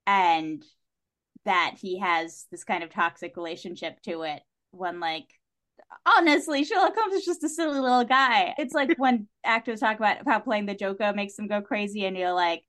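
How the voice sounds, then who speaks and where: A woman speaking as a podcast guest in casual discussion, telling stories in an animated way with lots of "like" and acted-out reactions. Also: medium pitch.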